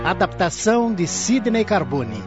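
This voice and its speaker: A man makes a radio programme announcement very slowly. His voice is high, and loud music swells around it in a dull recording. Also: very animated.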